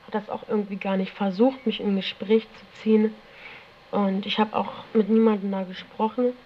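A woman's voice: medium pitch, flat delivery, normal speed. Teenage female, slangy casual storytelling, dull old tape recording, faint noise. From Germany. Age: teens. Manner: colloquial, slangy, frequent tag questions. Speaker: interviewee recounting own experience. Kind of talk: casual spoken recollection. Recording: dull, noisy 1970s tape.

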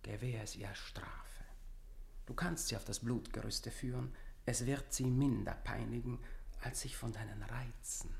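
An actor playing a scheming, persuasive courtier in a classical verse radio drama, with creaky, formal, insinuating diction. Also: male voice, medium pitch, fairly even intonation, unhurried, reverberant. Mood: coaxing. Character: scheming, persuasive courtier urging his queen.

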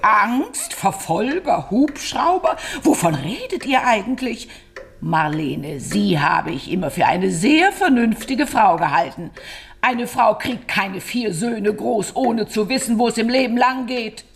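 An actress playing a stern, scolding elderly aunt in a German radio crime drama. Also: female voice, fairly high pitch, sing-song, unhurried, a little hiss or hum. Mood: indignant. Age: older. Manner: scolding, incredulous, matriarchal. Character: stern, indignant elderly aunt who raised her niece.

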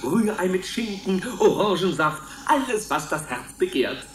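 A man's voice: sits high, lively intonation, measured pace, roomy sound, a little hiss or hum. A man acting in a radio play as a self-satisfied, falsely cheerful minder of a futuristic colony, with a sing-song officious manner. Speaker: activity supervisor.

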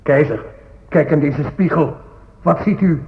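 An actor playing an ominous Aztec priest and soothsayer, speaking in portentous radio drama style. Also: male voice, fairly high pitch, unhurried. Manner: portentous, declamatory. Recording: phone-like old radio recording, faint noise.

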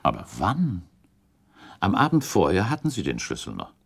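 A man acting in a German radio play as a shrewd, composed gentleman accuser, speaking formally and deliberately with insistent, probing weight. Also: sits low, huge pitch swings, gravelly, slightly rough, exaggerated voice.

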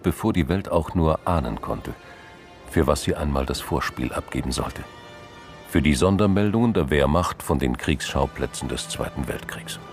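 A man with an extremely deep voice narrates a radio crime drama. His storytelling is measured and literary, with music playing underneath. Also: darkish tone.

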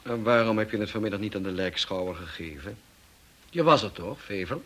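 An actor in a radio play playing a curt, indignant master of the house who speaks in a clipped, commanding way. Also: male voice, lowish voice, lively intonation, brisk, a bit bright. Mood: cold.

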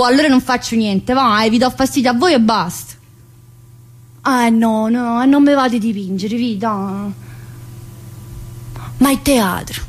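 A woman's voice: a little high, a little hiss or hum. An actress playing a chatty, sardonic working-class woman prisoner, in a stage monologue. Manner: chatty, teasing, swings between joking and bitterness. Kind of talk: theatre monologue broadcast on radio.

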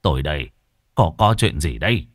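A man's voice: rumbling low, sing-song, normal speed, dry recording, clean studio sound, voice pushed down, full character voice. A man doing a mournful stammering old widower. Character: sad, ashamed old widower in a village.

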